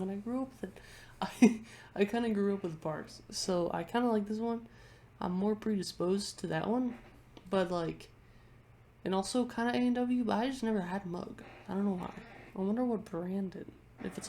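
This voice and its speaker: A woman's voice: medium pitch, gravelly, warm tone. A congested woman vlogger chatting casually into her webcam at home, rambling and often restarting her sentences. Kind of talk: casual chat ranking things on a tier list.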